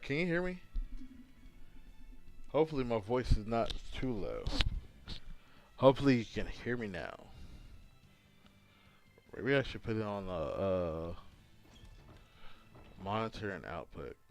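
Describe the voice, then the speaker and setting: A hungover man casually chatting with his livestream viewers as he sets up a game, rambling and halting. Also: on the low side, expressive, faint music behind. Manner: rambling, halting, talks to chat while fiddling with setup. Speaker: gaming livestreamer.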